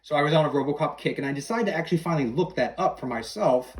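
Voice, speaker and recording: A man's voice: on the high side, expressive, very fast. A middle-aged man as a podcast guest, chatting casually and explaining trivia in an informative way. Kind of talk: casual chat between film fans.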